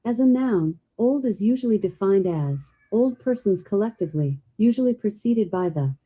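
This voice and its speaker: A synthetic woman's voice of a smart speaker assistant, reading a definition in a smooth, even delivery, heard from a speaker away from the mic. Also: medium pitch, normal speed.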